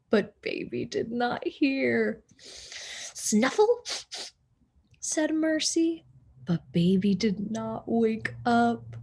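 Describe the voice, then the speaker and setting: A woman reading a children's story aloud as a teacher, deliberate and expressive for young listeners. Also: on the high side, husky. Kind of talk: storybook read-aloud narration.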